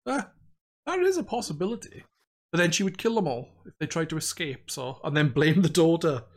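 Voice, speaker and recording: A man's voice: fairly high pitch, animated, a little fast. A young man with a Welsh accent co-hosting a film podcast, chatting casually, rambling and laughing often. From Wales. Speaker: podcast host. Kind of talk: casual chat.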